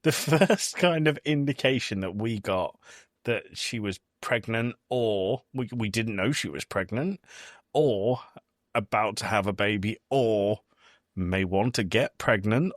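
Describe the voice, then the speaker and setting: A man hosting a podcast, chatting casually about films in an animated storytelling way. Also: on the low side, unhurried, no room sound, studio quality. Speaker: podcast host. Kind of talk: casual film review chat.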